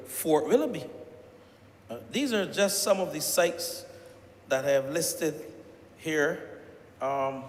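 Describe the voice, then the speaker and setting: A man with a Barbadian accent giving a deliberate parliamentary speech, stressing each site he names. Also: fairly high pitch. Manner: deliberate address with rising emphasis on listed sites. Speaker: member of parliament. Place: parliament chamber. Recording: echoey chamber with long reverb.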